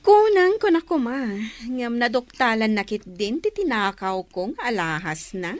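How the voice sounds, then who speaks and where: Woman acting a high, animated sly schemer. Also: unhurried, dry recording. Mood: gossipy, scornful. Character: scheming, mocking female accomplice egging on her friend. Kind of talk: dramatized audio story acting.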